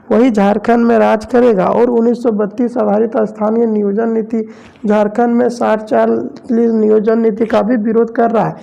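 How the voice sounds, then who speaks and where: Male news narrator, very gravelly, dark mellow tone, scripted delivery. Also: very high voice.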